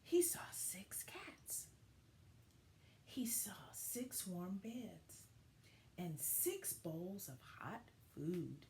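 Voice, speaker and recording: Slow, very expressive woman teacher. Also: medium pitch, whispered. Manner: deliberate, dramatic phrasing for young children. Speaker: teacher reading aloud to young children. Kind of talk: expressive storybook read-aloud and lesson.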